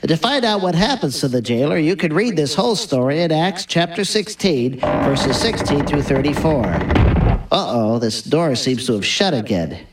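A folksy man hosting a radio drama in a lively, swooping voice. He speaks hesitantly and fills pauses with "uh". He sounds a little away from the mic. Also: a little high, recorded from a distance, very noisy.

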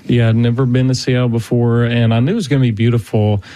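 A man's voice: medium pitch, little pitch movement, fast talker, dark tone, no echo. A young man, chatting as a guest on a church radio show, rambling with lots of fillers. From Florida Panhandle, USA. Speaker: guest preacher. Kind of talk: casual chat.

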